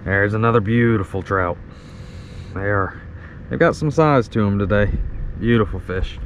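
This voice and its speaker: A man's voice: lowish voice, moderate pace, highs cut off, slight background noise. A man giving casual live fishing commentary to viewers, marveling and repeating his phrases. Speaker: fishing vlogger. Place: outdoors on a kayak on a creek.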